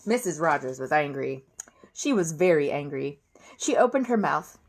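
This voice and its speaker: A woman reading a children's story aloud in an expressive storytelling style. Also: low voice, moderate pace. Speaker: storybook reader.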